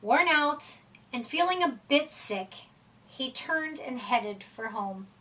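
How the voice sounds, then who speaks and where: A woman reading a children's picture book aloud expressively, with deliberate dramatic emphasis and stretched-out words. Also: high-pitched, expressive, pushed and bright, distant mic. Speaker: storybook reader.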